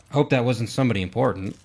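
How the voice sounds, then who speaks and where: A man's voice: medium pitch, lively intonation, normal speed, gravelly, slight background noise. A man giving casual reaction commentary on game cutscenes, speculating and thinking aloud. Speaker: gaming video reactor. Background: game cutscene music and dialogue.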